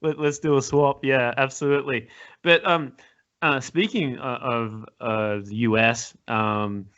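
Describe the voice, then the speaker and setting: A man's voice: mid-range voice, steady tone, unhurried, fairly bright tone. A man in his thirties with an Australian accent, casually interviewing a guest with agreeable, hesitant phrasing. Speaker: podcast host, interviewer. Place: home room with wooden cabinet. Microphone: large desktop microphone up close. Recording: slightly dull call audio.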